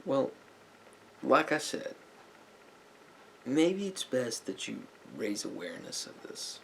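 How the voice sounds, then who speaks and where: A man playing a sympathetic therapist in an ASMR roleplay, giving gentle advice in a halting, repetitive, hedging way. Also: medium pitch, sing-song, gravelly, slight background noise. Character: calm, sympathetic male therapist counselling a client.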